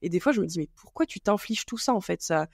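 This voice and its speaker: A woman's voice: fairly low pitch, animated, quick pace, gravelly, dry recording, studio quality. A woman hosting a podcast, chatting casually and rambling with many fillers and restarts. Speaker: podcast host. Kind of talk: casual chat.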